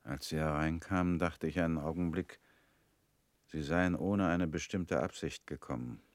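An actor in a radio play voicing a reclusive former political defendant, calm, quiet and guarded. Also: male voice, very deep voice, normal speed, no echo.

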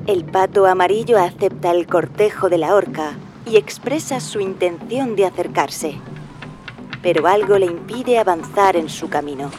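An actress playing a solemn, deadpan female narrator of a parody nature documentary on radio. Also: on the low side, normal speed, over music, close to an everyday voice. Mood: solemn wonder.

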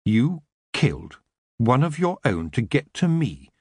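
A man voicing a defiant young witch girl in a storybook reading, speaking cold and measured. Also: medium pitch, big pitch swings, husky, no echo, voice pushed up, heavily acted. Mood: cold fury.

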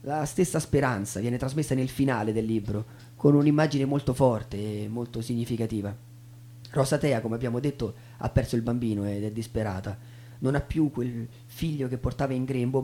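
A man narrating in a theatrical spoken storytelling style, as in a stage show. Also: mid-range voice. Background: soft guitar music.

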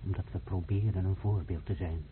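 A man's voice: low voice, fairly even intonation, fairly quick, natural voice. An actor playing a solemn male witness in a radio play, announcing in a stark, declamatory way. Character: solemn man narrating a fiery group death. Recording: tinny old radio recording, faint hiss.

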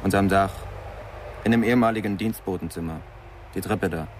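A man's voice: low-pitched, fairly quick, a little mellow, slight background noise, close to an everyday voice. An actor playing a cheeky teenage Parisian errand boy in a radio play, laconic and casual. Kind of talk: crime radio drama dialogue.

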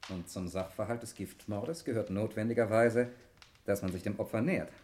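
An actor playing a sceptical, argumentative stepson in a 1960s German radio play, questioning in a pointed, challenging way. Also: male voice, on the low side, normal speed, darkish tone, a little hiss or hum, lightly acted. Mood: confident.

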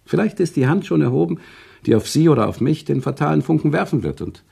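An actor playing a worldly, sentimental male storyteller in a radio drama, teasing and emotional, with dramatic pauses and expressive swells. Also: exaggerated voice. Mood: brooding. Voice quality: husky, gravelly low voice. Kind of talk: German radio drama acting, conversational storytelling.

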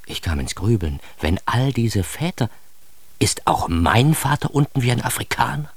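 A man's voice: medium pitch, big pitch swings, normal speed, husky, no room sound. A man playing a neurotic, self-mocking narrator of his own childhood in a radio drama, in standard German with a wry, theatrical manner. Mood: bemused.

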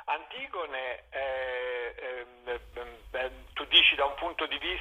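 An older man with a very husky, rough-edged voice that still has a bright, forward edge. He speaks as a director in a thoughtful interview, pausing and searching for words, over what sounds like a phone line. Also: medium pitch. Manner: halting, searching for words with long hesitations. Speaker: theatre director being interviewed. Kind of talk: reflective interview.

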